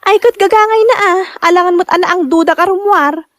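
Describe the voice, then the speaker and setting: A woman's voice: extremely high pitch, a little fast, dry recording, clean studio sound. A woman with a Filipino accent playing a flirtatious, defensive cheating wife in an audio drama, coy and dismissive.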